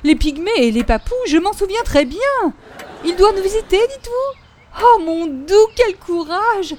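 Radio comedy fussy, gushing neighbour lady, extremely high voice, faint noise behind. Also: expressive, measured pace. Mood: prim, curious. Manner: gushing, prim, exclamatory. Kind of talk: 1940s radio comedy acting.

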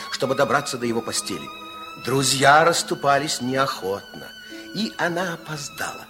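A man narrating a Soviet children's radio play in a theatrical, playful, confiding storytelling style. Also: high voice. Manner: theatrical, expressive. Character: expressive fairy-tale narrator, playful and confiding.

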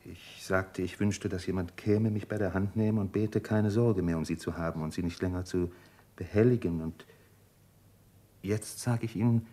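An actor in a German radio play playing an earnest, lovestruck doctor with a husky voice, speaking formally and deliberately. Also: male voice, low voice, mellow. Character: earnest, lovestruck Russian male doctor, tormented and tender.

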